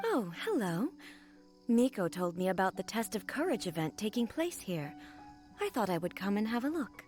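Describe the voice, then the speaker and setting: A woman playing a dignified, composed female ruler in a video game, speaking formally and politely with measured phrasing. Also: medium pitch, sing-song, a little mellow, natural voice. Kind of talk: video game cutscene acting. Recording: music behind the voice.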